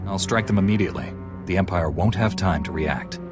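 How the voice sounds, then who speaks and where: A man playing a principled Jedi knight in a video game, firm, measured and formal. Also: deep voice, close to an everyday voice. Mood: firm, questioning. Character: principled, resolute male Jedi knight hero. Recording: music behind the voice.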